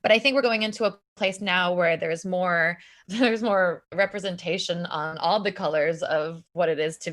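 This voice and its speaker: Lively woman singer with lower voice. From Puerto Rico. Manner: enthusiastic, many "like" fillers, occasional restarts. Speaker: singer and bandleader, podcast guest. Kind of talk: casual interview chat.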